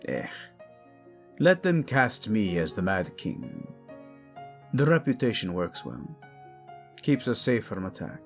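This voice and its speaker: A man's voice: lowish voice, huge pitch swings, vocal fry, soft background music, exaggerated voice. A man playing a devoted, hot-tempered yet tender king, speaking intimately and teasingly in a romantic audio roleplay. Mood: wry, yielding. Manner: soft, intimate, teasing, halting.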